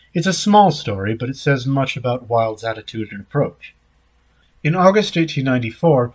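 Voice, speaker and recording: A man reading a written essay aloud in a measured, scripted narration style. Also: mid-range voice. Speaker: essay reader.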